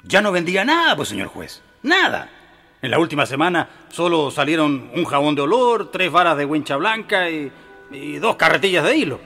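A candid, naive small shopkeeper in a radio drama. The man's voice is fairly high and creaky, swooping wildly in pitch. He is polite, flustered and stubbornly earnest. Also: very animated, normal speed, pushed and bright, full character voice. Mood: earnest, insistent. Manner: flustered, repetitive, pleading politeness. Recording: music behind the voice.

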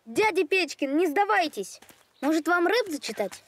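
A woman's voice: very high-pitched, big pitch swings, normal speed, a bit bright, a little hiss or hum, full character voice. A woman voicing an eager, bossy little girl in a cartoon, chirpy and encouraging. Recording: music bed behind the voice.

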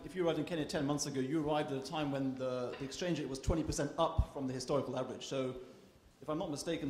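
A man's voice: on the high side, darkish tone, a little hiss or hum. A man on a panel answering a question in a matter-of-fact way. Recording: reverberant conference hall.

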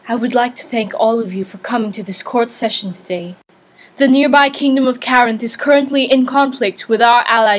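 Woman playing a stately queen, fairly high voice. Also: rough recording. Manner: formal, declamatory. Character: stately, resolute queen regent addressing her court. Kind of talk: audio drama acting, formal speech.

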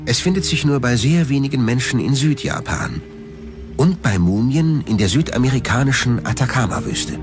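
A man narrating a scripted documentary in a measured, factual manner, recorded as a studio voice-over. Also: mid-range voice, big pitch swings. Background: background music.

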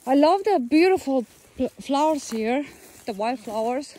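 A woman's voice: high-pitched, animated, unhurried, mellow. A woman co-hosting an outdoor walking tour of a tree farm, chatty and enthusiastic, with loose, non-standard phrasing. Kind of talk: casual walking tour vlog.

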